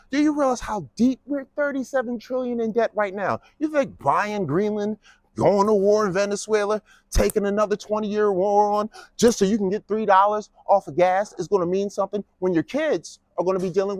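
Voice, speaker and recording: A man's voice: high-pitched, no room sound. A man in his fifties delivering a casual, mocking political monologue with lots of rhetorical questions. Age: 50s. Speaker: vlogger giving a political speech.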